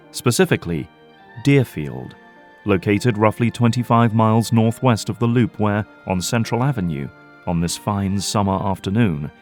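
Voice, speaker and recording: A man narrates an audio drama in a scripted, dramatic style. His voice sits fairly low, with faint music underneath. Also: a little mellow.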